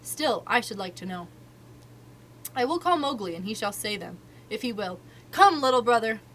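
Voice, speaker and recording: Lady doing cool stern panther guy, dry and sharp, storybook voice, faint hiss. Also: mid-range voice, animated, normal speed, low-quality recording.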